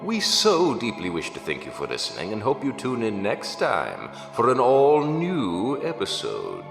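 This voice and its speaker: A man's voice: on the low side, huge pitch swings, unhurried, gravelly, full character voice. Heavy echo, music bed, man playing grandly spooky radio announcer, theatrical, formal, ominous. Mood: ominously formal. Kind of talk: old-time radio horror announcing, scripted credits. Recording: echoey hall reverb, music behind.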